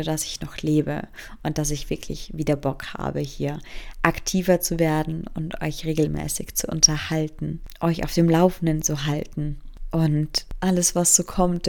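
A woman's voice: deep voice, vocal fry, darkish tone. A woman in her mid-twenties from Germany, hosting a casual solo podcast, rambling and self-mocking as she drifts from topic to topic and mixes in English phrases. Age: 20s. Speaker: podcast host.

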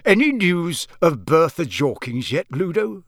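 A man's voice: on the high side, sing-song, a little slow, no room sound, studio quality, pitched above the performer's own voice. A man voicing a mild, earnest, middle-aged wizard father in an audiobook, tentative and a little put-upon. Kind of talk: audiobook character voice.

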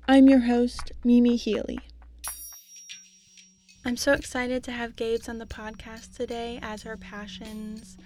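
A woman's voice: on the high side, level tone, a little slow, warm tone. A woman hosting a podcast, reading an earnest, upbeat introduction. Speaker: podcast host. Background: music.

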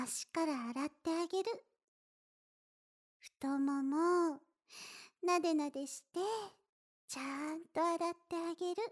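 A very high, girlish young woman played by a voice actress in the role of a doting older sister. She talks slowly and tenderly, straight to the listener, with a playful, coaxing lilt. It is intimate Japanese situation-drama acting, affectionate and a little teasing. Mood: tender, soothing. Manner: gentle, coaxing, playful, addressing listener softly.

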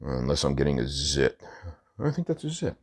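A man with an extremely low, deep voice hosts a laid-back solo podcast. His nose is stuffed up from allergies. He drifts along with lots of "uh"s and corrects himself as he goes. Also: normal speed. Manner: rambling, many "uh" fillers and self-corrections; relaxed, smoking while talking. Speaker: podcast host. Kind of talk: casual solo podcast talk.

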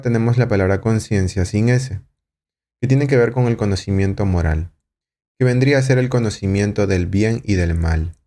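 Calm, low-voiced man guiding a reflection. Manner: slow, calm, guiding, asks rhetorical questions. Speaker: podcast host and teacher. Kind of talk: guided reflective lesson.

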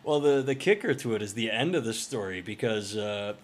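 Low-voiced man telling a rowdy story. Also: fairly quick. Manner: profane, rambling, animated storyteller. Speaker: podcast co-host. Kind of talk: casual storytelling chat.

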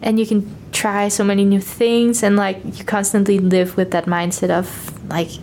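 A woman's voice: mid-range voice, little pitch movement, moderate pace, darkish tone, a little hiss or hum. A young woman from Denmark with a Danish accent, a native Danish speaker chatting in an interview about her exchange studies in an upbeat, talkative way. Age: young adult. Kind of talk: casual interview chat.

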